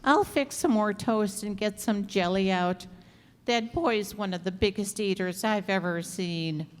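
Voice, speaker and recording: A long hall echo surrounds the voice. A woman plays a chatty, sympathetic landlady in old-time radio comedy style, with a medium-pitched voice and a fond, earnest way of talking. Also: a little slow, close to an everyday voice. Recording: reverberant hall stage recording.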